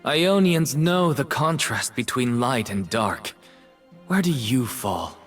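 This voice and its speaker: Solemn, troubled male artist for a video game: measured, unhurried, poetic, over soft music. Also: medium pitch, expressive. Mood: solemn, questioning. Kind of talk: dramatic game voice lines.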